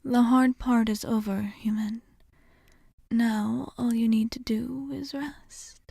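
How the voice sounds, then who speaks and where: A woman playing a composed, caring female vampire in an ASMR roleplay, speaking softly and breathily with formal, gently commanding phrasing, often in a whisper. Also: on the high side, steady tone, takes it slowly, creaky, slightly rough, dry recording, clean studio sound, heavily acted. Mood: soothing, tender. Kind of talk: ASMR roleplay addressed to the listener.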